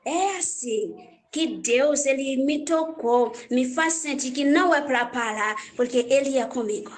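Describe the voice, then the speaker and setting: High-voiced Haitian woman, slow and halting. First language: Haitian Creole. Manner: halting, repeats phrases, searches for words. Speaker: church worship minister. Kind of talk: personal life story.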